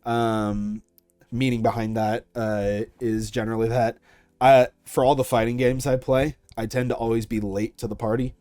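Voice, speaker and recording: A man's voice: mid-range voice, level tone, moderate pace, a little mellow, dry recording. A young man answering questions about his creative work in a casual interview, as a content creator. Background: music.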